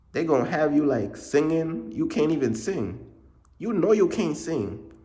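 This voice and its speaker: A man's voice: a little high, a little mellow. A man voicing God as a casual, hyped-up male buddy who keeps calling the listener bruh. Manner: slangy, calls listener bruh.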